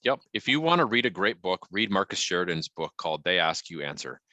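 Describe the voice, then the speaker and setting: Middle-aged male consultant: booming, deep-leaning voice, dry close sound, emphatic and conversational. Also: very animated, fairly quick, bright, forceful tone, no echo. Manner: emphatic, punchy, frequently checking in with "right?". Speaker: guest marketing consultant. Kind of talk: conversational business advice to an online group.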